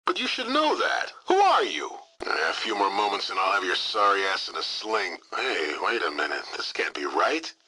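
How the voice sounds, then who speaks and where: An actor playing an irritable, foul-mouthed military communications operator in a video game, snide and wisecracking. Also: male voice, deep voice, huge pitch swings, moderate pace, pushed and bright, slightly rough, heavily acted, rough recording. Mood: snide, wry.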